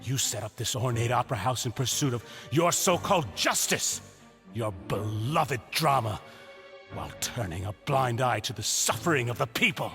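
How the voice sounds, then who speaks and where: A man's voice: mid-range voice, lively intonation, normal speed, creaky, a bit bright. A man playing a desperate, grief-maddened villain in a video game, with theatrical, anguished, unhinged delivery. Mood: bitter despair. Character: desperate grief-maddened male villain obsessed with lost lover. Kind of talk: dramatic video game voice acting. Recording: music under the voice.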